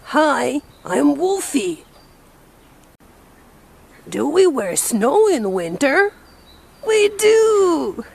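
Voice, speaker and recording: Woman voicing a creaky, high-pitched cheerful little wolf. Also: sing-song, extremely slow, long pauses, a little mellow, heavily acted. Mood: cheerful, playful. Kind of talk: children's read-aloud storybook.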